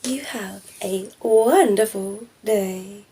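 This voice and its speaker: A woman playing a cheeky, flirtatious female vampire in a soft, teasing ASMR roleplay, often slipping into whispers. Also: medium pitch, drawn-out words, slight background noise. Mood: flirtatious, hungry.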